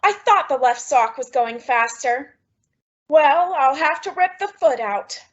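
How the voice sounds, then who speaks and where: A woman playing a flustered, secretive and affectionate housewife in an old-time radio sitcom, with animated, exclamatory delivery. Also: high-pitched, measured pace, dull audio. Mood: coy, cheerful. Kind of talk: 1940s radio sitcom acting.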